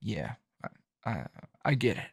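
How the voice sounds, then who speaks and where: A man playing a calm, dry, teasing hero and coworker in an intimate ASMR roleplay, talking in a low-key, halting, conversational way. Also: creaky, breathy edge, no echo, heavily acted. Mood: reassuring.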